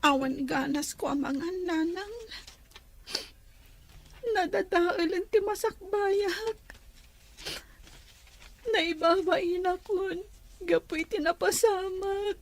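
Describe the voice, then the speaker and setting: A woman's voice: very high voice, slow pace, pauses often, exaggerated voice. A woman playing a distressed young daughter who speaks tearfully and pleadingly.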